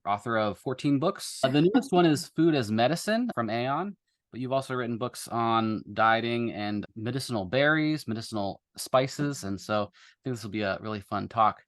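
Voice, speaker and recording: A man's voice: mid-range voice, a bit bright, no echo. Man co-hosting a podcast, dry close sound. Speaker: podcast co-host. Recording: dry close sound, faint music behind.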